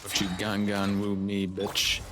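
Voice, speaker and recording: Deep voiced guy chatting on a game stream, laid back n sarcastic, swears, music behind him. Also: monotone, measured pace. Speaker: game livestreamer. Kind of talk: casual gaming commentary with swearing.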